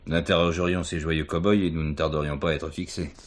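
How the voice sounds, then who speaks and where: An actor playing a shrewd, authoritative police commissioner in a French radio crime drama, speaking in a commanding, deliberate way. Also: male voice, rumbling low, level tone. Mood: confiding. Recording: noisy 1970s radio drama recording.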